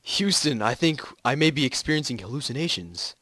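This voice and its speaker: A man's voice: mid-range voice, expressive, a little fast, bright, forceful tone, no room sound. A man playing a nervous American astronaut who is alarmed and hesitant as he reports from the moon in an amateur radio-play style reading. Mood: uneasy, bewildered. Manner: hesitant, panicky delivery.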